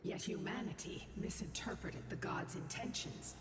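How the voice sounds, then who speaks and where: An actor playing a cryptic, ominous narrator who passes judgment on humanity in a formal, portentous game voice. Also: male voice, sits high, normal speed, whispered, lightly acted. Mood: ominous, solemn. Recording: distant, echoing game audio over music.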